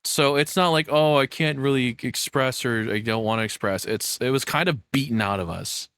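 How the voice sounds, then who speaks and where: A man's voice: mid-range voice, normal speed, a bit bright, clean tone. A man giving casual streamer reaction commentary in a blunt, informal way, with some swearing.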